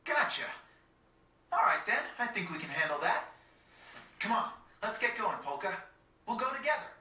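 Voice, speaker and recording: Take-charge teenage boy, informal, protective, forceful tone, video game dialogue, roomy distant audio. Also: mid-range voice, sing-song, normal speed. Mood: reassuring. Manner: informal, frank, reassuring. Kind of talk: video game cutscene acting.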